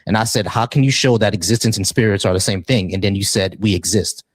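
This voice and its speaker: A man's voice: lowish voice, fast, dry recording. A man hosting and moderating a live debate panel, insistent and challenging, often repeating himself.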